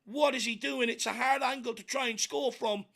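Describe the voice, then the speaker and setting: Irish guy yelling at the players on a spurs watchalong, gravelly croaky voice from hours of talking. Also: extremely high pitch, moderate pace. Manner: excitable partisan, shouts encouragement at players. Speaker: fan channel host doing live match commentary. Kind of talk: watchalong fan commentary.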